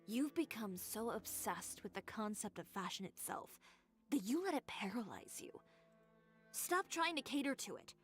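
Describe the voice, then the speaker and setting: A woman's voice: fairly high pitch, lively intonation, normal speed, soft background music, natural voice. An actress playing a proud, determined young female fashion designer in a game, speaking in a firm, cool, lecturing way. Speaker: game character.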